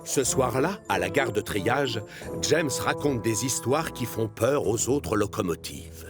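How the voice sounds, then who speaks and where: A man reading scripted French narration for a children's cartoon, expressive, as if telling kids a story. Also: medium pitch, unhurried. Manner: expressive, addressing children directly. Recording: music behind the voice.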